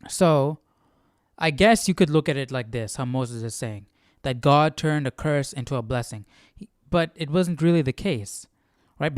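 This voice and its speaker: Guy hosting a bible study, lowish smooth voice, thinking out loud casually, keeps asking questions. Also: dry recording, clean studio sound. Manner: musing, often checks in with rhetorical questions. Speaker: host of a Bible study livestream. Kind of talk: casual conversational scripture commentary.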